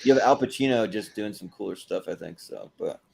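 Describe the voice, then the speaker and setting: A man hosting a movie panel show, chatting casually in a halting way and repeating words as they go. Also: fairly low pitch, gravelly, a little mellow, breathy edge. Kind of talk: casual panel chat and sign-off plugs.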